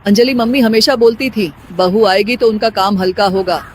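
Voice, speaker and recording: A man playing a considerate young husband, gentle and concerned, in a cartoon story. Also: high-pitched, steady tone, moderate pace, slight background noise, close to an everyday voice.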